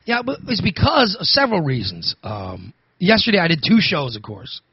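A man hosting a talk radio show, hoarse from overusing his voice, rambling and restarting his sentences. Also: on the high side, huge pitch swings, moderate pace, dry recording, dull audio. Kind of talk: casual talk radio monologue.

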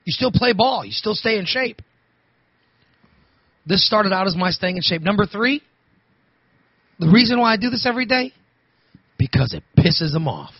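A man hosting a talk radio show, hoarse from overusing his voice, rambling and restarting his sentences. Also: high voice, lively intonation, moderate pace, pauses often, dry recording, lo-fi sound. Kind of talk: casual talk radio monologue.

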